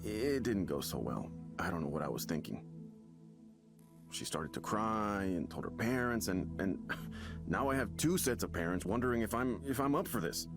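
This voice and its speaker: An anxious young dad in a narrative game, guarded and conflicted. He speaks in a naturalistic, halting way with stammered restarts, while music plays clearly behind him. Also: fairly low pitch, animated. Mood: troubled, defensive. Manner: halting, hesitant, stammering confession. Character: troubled young father hiding a secret self, anxious. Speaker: visual novel character in a therapy session.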